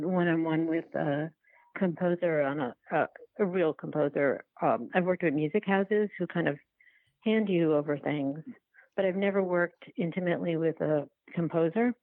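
A woman being interviewed about her work, speaking reflectively and haltingly as she searches for words. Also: fairly low pitch, phone-quality sound. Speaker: documentary filmmaker, interview guest. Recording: roomy, band-limited remote-call sound.

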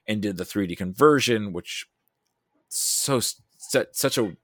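A man talking casually about films as a podcast guest, thinking aloud in a halting, rambling way. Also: on the low side, expressive, dry recording, clean studio sound. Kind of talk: casual film discussion.

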